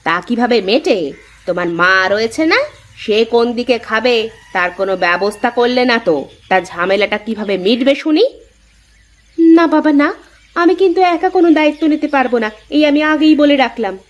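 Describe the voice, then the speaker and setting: Woman acting a shrill, quarrelsome young wife. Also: expressive, a little fast, dull audio, lightly acted. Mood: defiant. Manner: combative, complaining. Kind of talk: Bengali cartoon dialogue acting.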